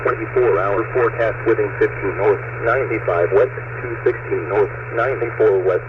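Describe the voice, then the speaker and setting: A man reading a formulaic marine weather bulletin in a flat, clipped, mechanical way over shortwave radio. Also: on the low side, moderate pace. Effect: shortwave radio transmission. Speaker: marine weather forecast announcer. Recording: muffled, noisy shortwave radio broadcast, distant.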